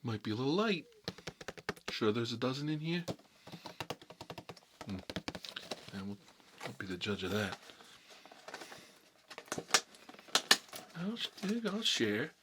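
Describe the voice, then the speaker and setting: A man playing a cagey, cocky mob boss in an ASMR role-play, talking unhurried in needling tough-guy street talk. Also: medium pitch, very animated, heavy background noise. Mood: bossy, needling.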